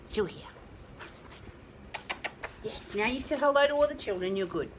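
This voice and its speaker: A woman reading aloud to young children, adding chatty asides and questions to listeners, with drawn-out emphasis on key words. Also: on the high side, lively intonation, slight background noise. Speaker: children's storyteller. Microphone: phone held nearby.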